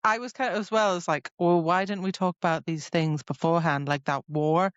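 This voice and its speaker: A woman's voice: fairly low pitch, fairly even intonation, fairly quick, smooth, no room sound, highs cut off. A woman co-hosting a podcast in a casual book discussion, retelling things animatedly with mock quotes. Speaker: podcast co-host.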